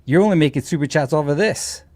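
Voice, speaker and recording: A man's voice: fairly high pitch, fast talker, dark tone, no room sound. A man chatting casually on a livestream as a co-host, opinionated and teasing. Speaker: co-host or regular guest on a livestream. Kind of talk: casual chat.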